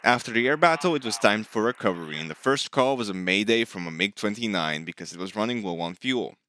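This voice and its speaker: A man's voice: lowish voice, expressive, fast, background noise. A man giving a scripted voice-over narration, recapping his own gameplay as a flight sim hobbyist.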